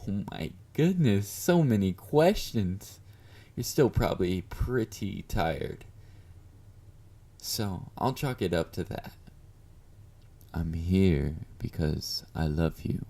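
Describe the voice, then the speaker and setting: Casting: male actor for a clingy yandere admirer in audio roleplay, dark soft timbre, slow delivery, flirty and self-assured. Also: deep voice, animated, full character voice. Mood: confident, doting. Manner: doting, confident, coaxing with pet names. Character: obsessive yandere male fan, clingy, flirty, confident stalker. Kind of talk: intimate roleplay monologue addressed to the listener.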